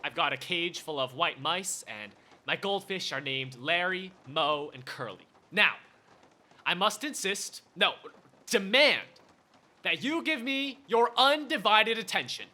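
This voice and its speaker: Pompous nutty official, super high piercing voice, grand n melodramatic, radio play. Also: male voice, animated, a little slow, bright, forceful tone, exaggerated voice. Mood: fond, proud. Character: pompous, unhinged, guilt-wracked chief dissector, theatrically self-important. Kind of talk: theatrical radio play acting.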